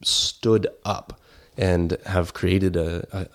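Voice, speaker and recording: A man from Kenya talks as a guest in a conversational interview. His voice sits fairly low, and he explains things earnestly, repeating phrases to press a point. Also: fairly even intonation, drawn-out words. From grew up in Kenya.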